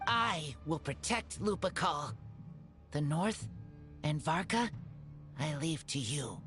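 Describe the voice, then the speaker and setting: An actor playing an earnest, loyal teenage boy raised by wolves in a fantasy game, speaking in halting, simple phrases with a husky edge. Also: mid-range voice, animated, a bit bright, echoey room. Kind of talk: scripted fantasy game dialogue. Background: music.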